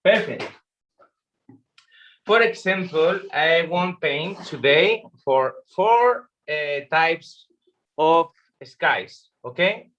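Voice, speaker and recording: A man teaching watercolor painting in a live online demo, speaking halting with many pauses, on a video call. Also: fairly high pitch, animated, a bit bright. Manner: halting non-native English with many pauses. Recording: slightly dull lo-fi stream audio.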